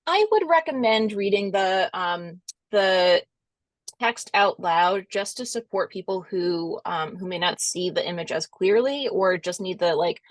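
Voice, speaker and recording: A woman's voice: medium pitch, lively intonation, a little slow, dry recording, poor audio. A young adult woman hosting a video-call webinar and giving conversational advice in loose asides. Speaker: webinar host from a museum association. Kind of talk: casual instructional talk.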